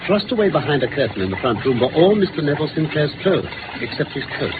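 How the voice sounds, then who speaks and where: A man with a British accent playing a brisk, incisive gentleman detective in a 1950s radio drama, articulate and theatrical as he lays out facts. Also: a little high, big pitch swings. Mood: brisk, matter-of-fact. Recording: noisy, phone-like old radio recording.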